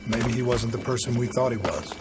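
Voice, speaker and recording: A steady, serious special forces soldier played by a man in a video game. He asks probing questions in a measured way, in a mid-range male voice over clearly audible music. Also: mid-range voice, natural voice. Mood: resolute.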